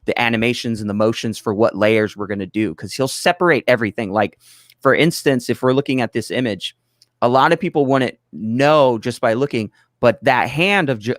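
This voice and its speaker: A man with a mid-pitched voice explains things in a casual, conversational way. He is emphatic and rambles, interrupting himself to restart. The recording is dry and free of room sound. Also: no echo. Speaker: project collaborator, guest.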